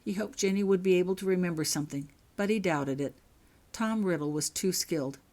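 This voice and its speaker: A woman reading a scripted story aloud as its author and narrator. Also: lowish voice. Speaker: author reading own fan fiction.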